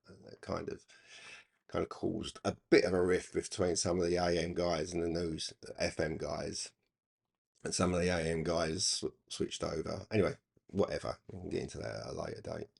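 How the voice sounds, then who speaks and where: An older man reminiscing casually in a halting, rambling, reflective way. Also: low-pitched, little pitch movement, vocal fry, darkish tone, breathy edge. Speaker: hobby radio channel presenter.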